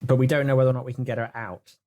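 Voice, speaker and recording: A man's voice: medium pitch, little pitch movement, rapid-fire, narrow, tinny audio. A man chatting casually as a player on a role-playing podcast, jokey and given to mock grumbling. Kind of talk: casual chat.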